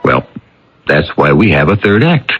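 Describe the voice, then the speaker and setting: A man hosting a mystery radio series, narrating theatrically with rhetorical questions and measured, dramatic emphasis. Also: very deep voice, big pitch swings, breathy edge, faint music behind. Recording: dull radio broadcast recording.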